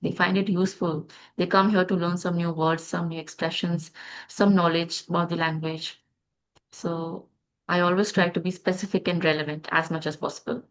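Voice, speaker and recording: An Indian woman hosts an English-learning interview with a measured, encouraging manner. Her voice sits a little low for a woman, and she speaks like a teacher. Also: level tone, poor audio. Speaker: host of an English-learning channel.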